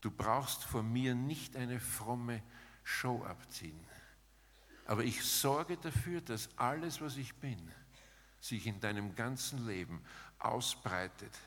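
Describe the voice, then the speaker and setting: A man preaching a sermon in an emphatic, rhetorical manner, setting out short phrases with pauses between them. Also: mid-range voice, animated, fairly bright tone. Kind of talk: sermon with dramatized paraphrase, closing in prayer. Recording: long hall reverb.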